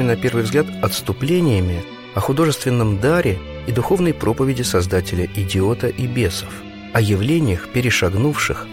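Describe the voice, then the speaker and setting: A man hosting a radio book segment, reading his scripted commentary in a measured, reflective way. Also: mid-range voice. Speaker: radio host. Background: music.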